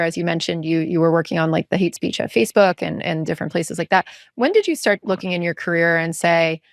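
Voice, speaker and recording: A woman's voice: on the low side, no room sound, clean studio sound. A woman hosting a podcast, asking long, meandering questions with many fillers. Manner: rambling, searching questions with fillers. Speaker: podcast host. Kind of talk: conversational interview questions.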